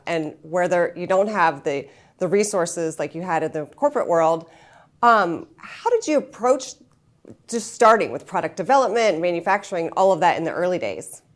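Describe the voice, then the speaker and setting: A woman's voice: on the low side, lively intonation, normal speed. A woman hosting an interview, asking friendly questions and rephrasing them as she goes. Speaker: host.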